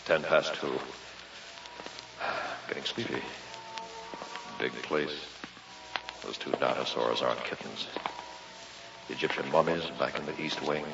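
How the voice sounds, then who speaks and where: Watchful night investigator, extremely low gravelly voice, clipped dramatic radio-play delivery, muffled echoey vintage recording. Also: male voice, unhurried, long pauses, over music, exaggerated voice. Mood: weary, musing. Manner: clipped musing monologue, dramatic. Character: weary, watchful male investigator guarding a museum at night. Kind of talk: old-time radio drama acting.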